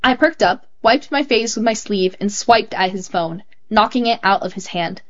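A woman reading a child's essay aloud as scripted narration, in a clear and measured storytelling manner. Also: medium pitch, highs cut off. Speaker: host reading children's stories.

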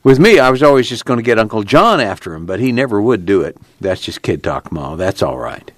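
A plain-talking young country man from rural America, acted by a man, in hiding and thinking things over. He speaks in a low-key, folksy way with a very mobile melody, captured dry as in an audio drama. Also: sing-song, fairly quick, no echo. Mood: easy, reassuring. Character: plain-spoken, thoughtful fugitive farm son, young man. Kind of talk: dramatic literary reading.

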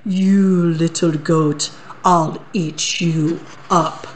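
A woman voicing a hungry, boastful male troll from a storybook, gloating in slow sing-song rhymes. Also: low voice, steady tone, a little hiss or hum. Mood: gleeful.

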